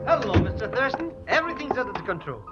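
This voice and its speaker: Bumbling nervous sidekick, high swooping voice, stammering, old radio comedy relief. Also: male voice, sing-song, quick pace. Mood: sheepish. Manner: flustered, stammering. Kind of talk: 1940s radio drama acting. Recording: muffled old radio broadcast, music behind.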